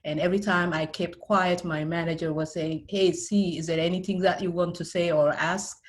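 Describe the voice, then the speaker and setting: Low-voiced middle-aged Ethiopian-accented woman. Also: steady tone, moderate pace. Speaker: interview guest, IT specialist and career mentor. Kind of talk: personal account in an interview.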